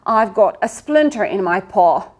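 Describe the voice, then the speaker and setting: A woman's voice: medium pitch, expressive, unhurried. A woman voicing a whiny, self-pitying male dog in a storybook, wailing about his troubles. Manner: wailing, sorry for himself.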